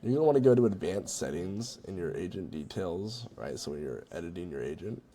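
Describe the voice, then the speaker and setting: Deep-voiced, gravelly casual guy presenting a tutorial. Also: big pitch swings, brisk, dark tone. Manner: informal, conversational, checks in with "right?" and "you know". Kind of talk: casual screen-recorded software walkthrough.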